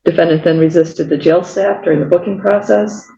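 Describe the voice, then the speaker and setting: A man's voice: a little high, steady tone, fairly quick, vocal fry, mellow. A man speaking as a prosecutor, giving a formal, matter-of-fact summary in court. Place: echoey indoor courtroom. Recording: muffled, echoey, noisy room.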